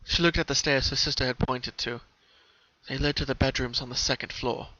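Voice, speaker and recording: A man's voice: mid-range voice, steady tone, brisk, sharp tone, dry recording. A man narrating a story as a dramatic reading, on a muffled lo-fi home recording.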